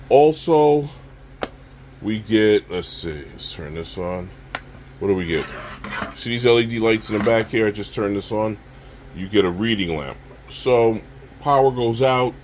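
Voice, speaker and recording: A man casually demonstrating a product as a reviewer, speaking haltingly and addressing viewers directly. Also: low-pitched, slight background noise.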